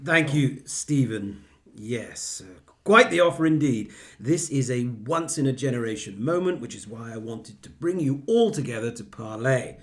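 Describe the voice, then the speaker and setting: Brief: a clubby British PM, mid-pitched, smug and breezy, running a meeting in satirical radio drama. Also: male voice, lively intonation, normal speed, a bit bright. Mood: smooth, self-satisfied. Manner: jovial, patronising, clubby. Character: breezy, smug British male Prime Minister chairing a meeting.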